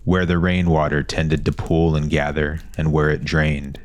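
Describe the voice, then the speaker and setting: Very deep-voiced man narrating fantasy. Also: level tone, unhurried, no room sound. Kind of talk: scripted fantasy audiobook narration.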